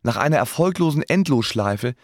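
A man's voice: mid-range voice, expressive, moderate pace, no room sound, studio quality, close to an everyday voice. An actor playing a self-deprecating young man stuck in life, narrating his story in a radio drama with wry self-mockery. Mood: wry, resigned. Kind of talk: first-person scripted radio drama narration.

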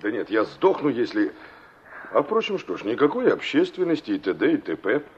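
An actor playing a cocky young carpenter in a Soviet radio play, brusque, teasing and colloquial. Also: male voice, mid-range voice, big pitch swings, normal speed, slight background noise, lightly acted. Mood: grumbling, ironic. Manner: mocking, colloquial, gruffly flippant. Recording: dull 1960s radio play recording.

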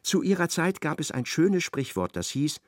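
A man's voice: a little high, big pitch swings, normal speed, no room sound, studio quality. A man narrating a literary radio play in a theatrical manner, retelling conversations in reported speech.

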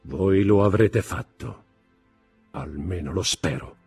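Man playing a stern accusing magistrate, husky voice. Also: low-pitched, soft background music, exaggerated voice. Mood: grim, relentless. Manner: commanding, contemptuous, deliberate interrogation rising to shouts.

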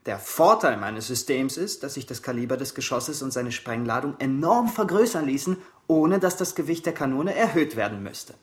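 An actor playing an earnest, eager young apprentice in a radio drama, respectful in manner. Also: male voice, mid-range voice, animated, moderate pace, hardly any pauses, close to an everyday voice. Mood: earnest explaining. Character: earnest, eager poor teenage apprentice and inventor.

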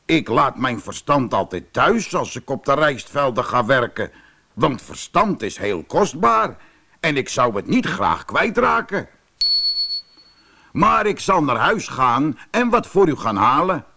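A man's voice: medium pitch, unhurried, creaky, voice pushed up, exaggerated voice. Een man die een slimme rijstboer in een sprookje speelt, onderdanig beleefd en listig. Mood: courteous. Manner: deferential, polite, calmly cunning. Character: shrewd rice farmer, humbly courteous to a tiger. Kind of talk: storybook character voice.

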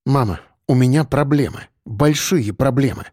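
A man's voice: on the high side, very animated, darkish tone, no echo, studio quality. A man playing a harried grown son in an audiobook story, insistent and impatient with his mother. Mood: weary. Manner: hurried, breathy exhaling, insistent.

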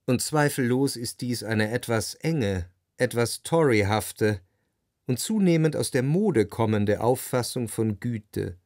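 An actor playing a reflective first-person narrator in scripted literary radio narration. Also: male voice, mid-range voice, animated, slow pace, a little mellow.

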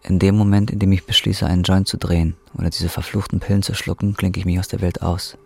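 A man's voice: sits low, flat delivery, fast, gravelly, husky, full character voice. An actor playing a bitter, defiant, broke injured roofer in his twenties, in a German radio drama. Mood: dark, brooding. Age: 20s. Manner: embittered, colloquial, confrontational. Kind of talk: German radio drama acting and brooding first-person narration.

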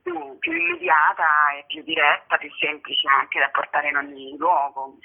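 A woman giving earnest, halting interview answers about artistic work over a tinny telephone line, searching for words. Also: low-pitched, lively intonation, husky. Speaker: interview guest, theatre artist and author.

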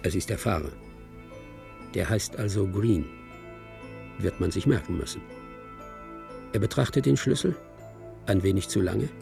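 A man's voice: low-pitched, a little slow, gravelly, long pauses, full character voice. A man playing a detached narrator who reads out a film script in short, observational present-tense sentences for a radio drama. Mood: detached, suspenseful. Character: detached male narrator reading out a film screenplay. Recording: music under the voice.

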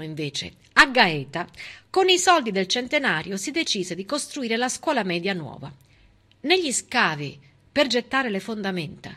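A woman's voice: on the high side, a bit bright. A woman stage performer delivering a civic monologue with emphatic, dramatic storytelling. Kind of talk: theatrical monologue, civic oration.

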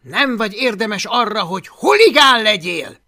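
A reader voicing a teenage Viking bully who jeers, shouts and keeps cackling with laughter in a storybook reading. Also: expressive. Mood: spiteful. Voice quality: high and shouting. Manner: loud cackling jeering laughter.

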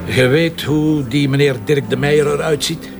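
An actor playing a gruff, sarcastic, impatient Flemish police commissioner in a radio drama, curt and colloquial. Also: male voice, a little high, fairly even intonation, moderate pace, over music. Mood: irritated, sarcastic. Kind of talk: naturalistic radio drama acting.

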